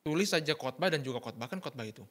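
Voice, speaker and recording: A man interpreting a sermon live, talking quickly and often restarting with fillers and self-corrections. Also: a little high, animated, a bit bright. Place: church.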